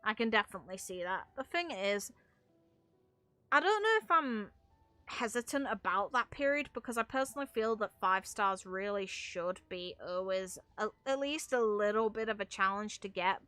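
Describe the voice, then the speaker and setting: Bright-voiced woman, casual gaming commentary. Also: mid-range voice, no room sound. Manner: opinionated, rambling, casual swearing. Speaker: game streamer.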